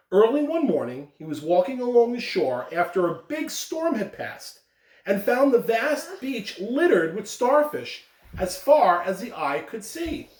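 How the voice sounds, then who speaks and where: A man speaking emphatically and directly to children, giving school announcements and telling stories. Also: high voice. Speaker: school announcer reading aloud. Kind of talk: morning announcements and storytelling for children. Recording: echoey room, distant microphone.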